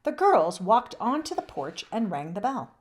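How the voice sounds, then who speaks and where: A woman narrating a children's book aloud in an expressive storybook style. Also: mid-range voice, normal speed.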